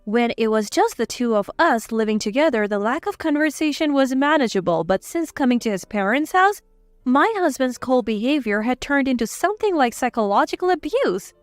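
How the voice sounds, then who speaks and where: Narrator: woman, bright lively pitch, earnest first-person storybook read, dry close sound. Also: brisk, no room sound, faint music behind.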